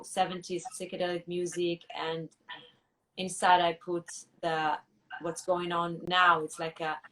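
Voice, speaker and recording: A woman musician answering interview questions thoughtfully, pausing and restarting often as she searches for words. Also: lowish voice, fairly bright tone, recorded from a distance, a little hiss or hum, low-quality recording. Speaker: musician guest.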